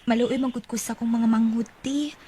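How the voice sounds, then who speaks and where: A woman's voice: on the high side, steady tone, a little fast, mellow, breathy edge, dry recording. A woman acting as an earnest, naive teenage working girl in a Bisaya radio drama, with a Cebuano accent and a plaintive manner. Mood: plaintive, resigned.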